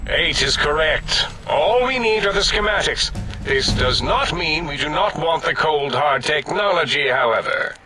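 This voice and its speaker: A man's voice: fairly high pitch, expressive, a little slow, background noise. A man voicing a pompous, imperious robot scientist in a video game, declaiming in a grandiose, pedantic way. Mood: bossy. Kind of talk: video game character acting.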